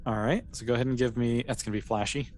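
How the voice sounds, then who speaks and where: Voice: medium pitch, fairly even intonation, very fast, a little mellow. A game master improvising narration for a tabletop role-playing game in an informal, conversational way.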